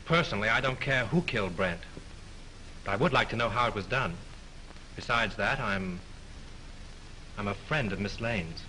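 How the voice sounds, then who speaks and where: A dry-witted mystery novelist in an early-1930s film. His voice is bright and crisp, and he teases the police with easy confidence over faint hiss. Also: lowish voice, animated, normal speed, long pauses, rough recording. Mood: mocking. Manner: dry, mocking wit. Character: wisecracking, cool male mystery novelist.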